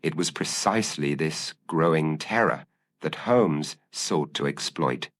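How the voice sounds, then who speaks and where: A man narrating a gothic mystery story in a measured, solemn, dramatic style. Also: rumbling low, lively intonation, a bit bright. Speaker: audiobook narrator.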